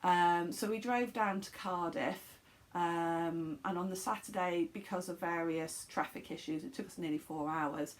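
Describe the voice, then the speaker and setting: Woman, slightly croaky, lowish voice, rambling casual chat, lots of ums. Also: unhurried. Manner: chatty, rambling, many ums and restarts. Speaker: knitting podcast host.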